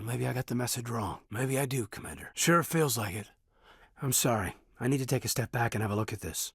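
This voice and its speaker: Loyal soldier, husky voice, expressive pitch, sincere and measured, dry close recording, video game dialogue. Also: male voice, no echo. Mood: hurt, confused. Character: earnest, loyal male military officer, reserved and thoughtful.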